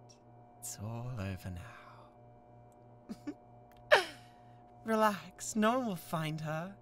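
An obsessive young British yandere classmate in an ASMR drama. The voice is bright and sharp and swings widely in pitch, possessive and theatrical. There is some noise on the recording. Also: female voice, heavily acted. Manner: theatrical, swinging between hushed coaxing and manic intensity. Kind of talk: dramatic ASMR roleplay monologue.

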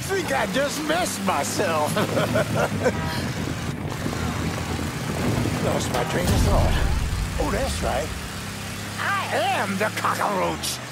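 Gravelly, boisterous old American mobster. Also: male voice, high-pitched, huge pitch swings, extremely slow, long pauses, heavily acted. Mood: manic glee. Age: older. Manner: colorful, rambling, streetwise. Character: foul-mouthed, boisterous aging male mobster telling tales. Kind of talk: video game character acting. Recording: game audio over loud music, engine noise.

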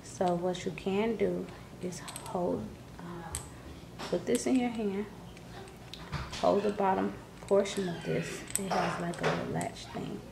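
A woman with a fairly low, creaky voice talks in a hushed, casual way, like a vlogger showing off a product at home. Her delivery is unhurried, and the camera recording carries clear background noise. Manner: hushed, keeping voice down. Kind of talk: casual product demonstration chat. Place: living room at home, indoors.

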